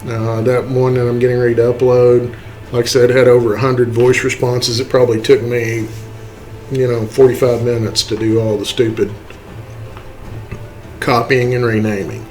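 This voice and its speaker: A man giving a casual, step-by-step software walkthrough, informally checking in with okay and right as he goes. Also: mid-range voice, level tone, moderate pace, faint music behind, low-quality recording. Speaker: tutorial presenter. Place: indoors, voice-over for a screen recording.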